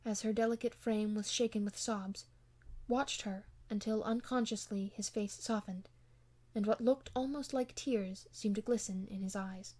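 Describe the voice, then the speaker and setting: A woman's voice: medium pitch, steady tone, normal speed, warm tone. Woman narrating a classic novel expressively. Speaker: amateur audiobook reader.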